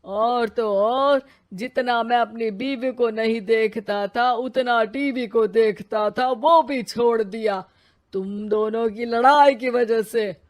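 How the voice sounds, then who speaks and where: Woman as a storybook father's ghost, aggrieved, scolding, grumbling, slow delivery. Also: on the high side, fairly even intonation.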